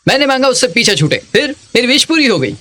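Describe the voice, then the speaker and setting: A man's voice: extremely high pitch, sing-song, fast talker, pushed and bright, full character voice. A man dubbing a chatty, anxious subordinate to a boss in a drama, eager and deferential. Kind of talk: Hindi dubbed drama acting.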